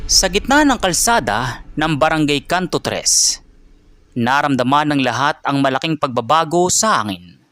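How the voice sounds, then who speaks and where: A man reading a scripted dramatic story in an even narrator's manner. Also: fairly high pitch, moderate pace.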